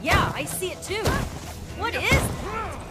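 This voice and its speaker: Very high, perky young heroine. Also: female voice, big pitch swings, takes it slowly, full character voice. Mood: eager. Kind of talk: animated video game cutscene acting. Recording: loud music over the voice.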